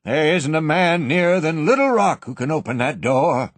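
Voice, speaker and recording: A man voicing an older banker in a panic, his voice shaky and raised high as he calls out. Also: sing-song, a little slow, creaky, breathy edge, no room sound, heavily acted. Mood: shaky pleading. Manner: shaky, calling out loudly. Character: older male banker, proud then panicked.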